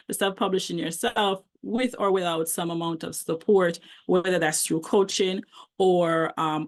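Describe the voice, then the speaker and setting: Jamaican lady, middle-aged, talking thru a coaching presentation, lots of ums. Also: on the low side. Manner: warm conversational, frequent ums. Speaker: self-publishing book coach, presenter. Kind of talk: online presentation.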